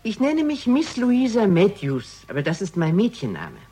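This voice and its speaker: A woman playing a candid, quick-witted middle-aged widow in a German radio crime drama, frank and expressive. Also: medium pitch, slightly rough. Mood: candid, wistful.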